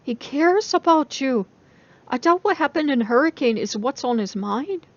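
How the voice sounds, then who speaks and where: A woman voicing a caring, brightly cheerful young female student in a dramatic story reading, exclamatory and encouraging. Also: sits high, expressive, normal speed, no echo, lo-fi sound, pitched above the performer's own voice. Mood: gentle, reassuring.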